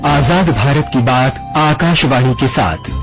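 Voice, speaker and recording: A man's voice: mid-range voice, slow pace, gravelly, highs cut off. Male radio promo announcer over music.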